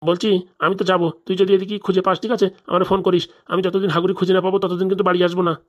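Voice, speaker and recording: Fast-talking, low-voiced scheming village father played by a woman. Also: level tone, lo-fi sound, lightly acted. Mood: determined, blustering. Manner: blustering, colloquial, insistent. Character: scheming, impatient, money-hungry middle-aged village moneylender father. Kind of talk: comic cartoon dialogue.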